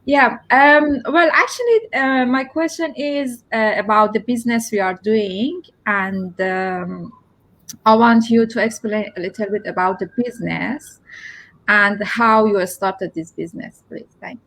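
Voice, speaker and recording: A woman hosts a casual live interview in a fairly high voice. She speaks very slowly and haltingly, feeling her way through each phrase. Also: fairly bright tone, poor audio. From lives in London.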